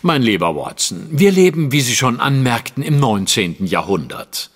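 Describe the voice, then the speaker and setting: A man playing a cool, sceptical Victorian detective in an audio drama, speaking precisely and formally with a husky, condescending tone. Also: mid-range voice, sing-song, normal speed, big-room sound. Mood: superior, assured. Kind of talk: theatrical German audio drama acting.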